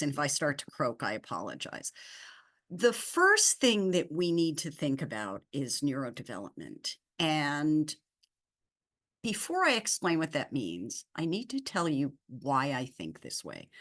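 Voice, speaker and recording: A woman lecturing as a guest speaker on a video call, slightly hoarse from a cold, explaining with emphatic repetitions. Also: low-pitched. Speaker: veterinary behavior professor, guest speaker. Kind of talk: lecture-style webinar presentation.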